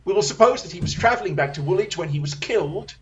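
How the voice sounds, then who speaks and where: Brilliant male detective played by a man, high pitch, lively swoops, sardonic, brisk, radio drama. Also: dull audio, a little hiss or hum. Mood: reasoning briskly. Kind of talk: radio play acting.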